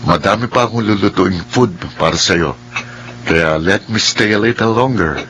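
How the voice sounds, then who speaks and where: An actor playing an earnest, devoted young suitor in a Filipino radio drama, coaxing and tender, with a Filipino accent. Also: male voice, on the low side, normal speed, a bit bright, slight background noise, natural voice. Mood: caring, coaxing. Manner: earnest, coaxing, mixes English into Tagalog.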